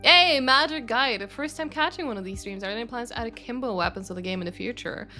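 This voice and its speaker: A woman's voice: a little high, fast talker, pushed and bright, soft background music. A young woman chatting on a livestream as a game studio's community manager, answering viewer questions in a rambling way with lots of fillers and self-corrections. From Sweden. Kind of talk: casual livestream chat reading viewer questions.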